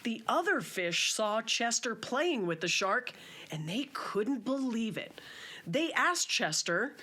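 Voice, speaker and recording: A woman reading a children's story aloud as its author, in a simple, measured narrating style. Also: fairly high pitch, very animated, sharp tone.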